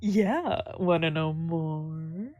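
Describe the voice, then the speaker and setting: A woman's voice: low voice, lively intonation, extremely slow, warm tone, no echo, lo-fi sound, soft background music, heavily acted. A woman voicing a smug, teasing male bar worker with a casual, breezy manner in a visual novel read-aloud. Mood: friendly.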